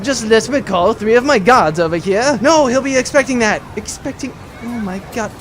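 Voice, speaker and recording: A man's voice: very high voice, normal speed, a bit bright, a little hiss or hum. A man playing a pompous, condescending, argumentative baron henchman in a comedic audio drama. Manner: bickering, condescending.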